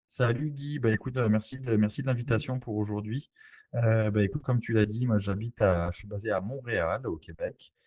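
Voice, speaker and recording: Low, mellow man, slightly husky. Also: brisk, no room sound. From French Antilles, Saint-Barthélemy. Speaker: podcast guest, real estate investor. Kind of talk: conversational interview.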